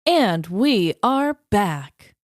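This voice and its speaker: A woman co-hosting a podcast, chatting and telling stories in an expressive, emphatic way. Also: mid-range voice, extremely slow, faint music behind. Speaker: podcast co-host. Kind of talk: casual chat and storytelling.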